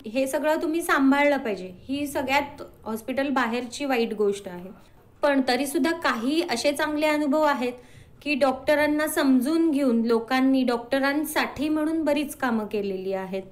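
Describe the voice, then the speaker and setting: A woman's voice: sits high, normal speed. A woman answering as an interview guest, earnestly explaining and mixing in English words.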